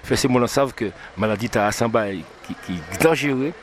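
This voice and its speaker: Halting man from Martinique, outdoors. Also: medium pitch. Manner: halting, repeats words often. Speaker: musician guest. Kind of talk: casual interview chat.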